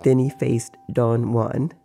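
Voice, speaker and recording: Slow, gravelly male storyteller. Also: mid-range voice, level tone, dark tone, soft background music. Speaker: narrator. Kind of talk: dramatized literary narration.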